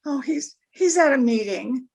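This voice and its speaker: A woman's voice: sits high, lively intonation, a little slow, gravelly, a little mellow, slightly rough, heavily acted. A woman playing a proud, anxious young wife in trouble, haughty and melodramatic, in 1940s radio drama style.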